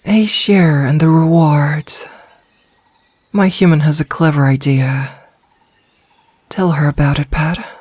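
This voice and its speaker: A woman voicing a gentle, protective snake-woman in a calm, soft, reassuring ASMR roleplay manner. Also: deep voice, slow, long pauses, husky, heavily acted. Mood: firm calm.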